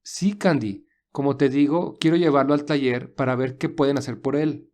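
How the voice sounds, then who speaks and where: A man's voice: mid-range voice, normal speed, a little mellow, natural voice. A man playing a courteous, resourceful young man in an audio story, speaking earnestly and reassuringly. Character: polite, resourceful young man stranded with his companion. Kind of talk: audio story character dialogue.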